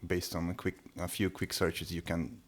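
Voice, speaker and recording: A man whose first language is Hungarian, talking casually on a podcast with many pauses and restarts. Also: low voice, completely flat delivery. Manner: hesitant, many restarts and fillers. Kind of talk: casual conversation.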